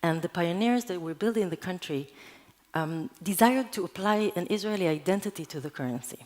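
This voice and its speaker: A woman's voice: on the low side, lively intonation, fairly quick, a little mellow. A woman whose first language is Hebrew, giving a conference talk in an earnest, explanatory manner. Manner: earnest, explanatory, with occasional restarts. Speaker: conference speaker. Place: conference hall.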